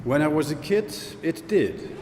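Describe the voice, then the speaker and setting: A man reads an interview transcript aloud as if chatting, his pitch rising and falling freely. The sound is roomy, with a long hall reverb. A faint noise sits underneath. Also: expressive, a little slow. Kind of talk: reading an interview script aloud. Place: gallery room, indoors. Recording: echoey gallery room, faint noise.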